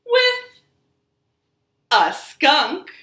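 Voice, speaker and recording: Lady doing storytime for little kids, bright voice, kinda sing-song and encouraging, roomy living room sound. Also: sits high, drawn-out words. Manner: sing-song, encouraging, expressive talk to young children. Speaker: children's storytime librarian host. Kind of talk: children's storytime, talking and reading aloud to young kids.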